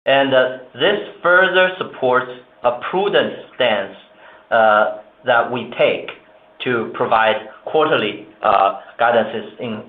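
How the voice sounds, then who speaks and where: Man on a roomy, phone-like conference line. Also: mid-range voice. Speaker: company executive. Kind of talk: earnings call remarks and answers. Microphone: conference call line.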